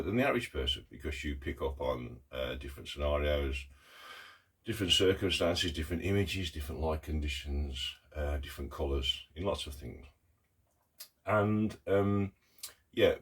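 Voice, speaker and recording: Middle-aged man vlogging, very low husky voice with a croak from a cough, halting and earnest with many pauses. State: hoarse with a cough. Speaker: artist vlogger. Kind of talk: casual talk to camera.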